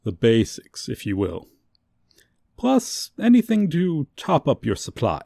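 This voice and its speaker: A man acts out a cheerful, chatty male shop clerk in an ASMR roleplay. His pitch moves around freely while the pace stays slow and unhurried. The sound is dry and close, with polite sales patter and playful asides. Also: huge pitch swings, creaky, dark tone, dry recording, exaggerated voice. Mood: smooth, welcoming.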